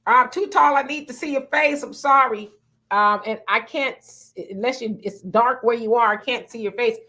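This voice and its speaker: Woman hosting a live stream, chatty and playful, voice sits kinda high. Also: normal speed, bright, forceful tone. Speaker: livestream host. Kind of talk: casual chat reading viewer comments.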